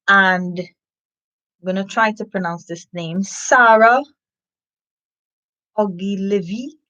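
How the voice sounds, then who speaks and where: A woman's voice: mid-range voice, slow pace, highs cut off. A woman hosting a live story time for children, chatting to listeners and reading a picture book expressively. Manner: animated storybook delivery with sniffing and asides to listeners.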